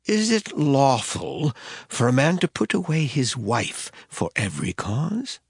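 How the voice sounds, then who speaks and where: A man playing a sly Pharisee challenger in a scripture drama, with a husky, creaky voice. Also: medium pitch, big pitch swings, a little slow, no echo, clean studio sound, exaggerated voice. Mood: challenging. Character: male Pharisee, sly challenger testing a teacher.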